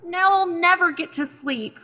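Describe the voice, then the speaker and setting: A young woman playing an excitable, naive girl in an audio drama, gushing and exclaiming. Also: very high voice, moderate pace. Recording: long cave-like reverb, slightly dull.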